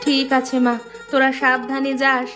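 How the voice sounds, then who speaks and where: Cartoon village mother played by a woman: very high voice, fussy but caring homemaker, music underneath. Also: monotone, normal speed, natural voice. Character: middle-aged village mother and wife, fussing, caring. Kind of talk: cartoon dialogue acting.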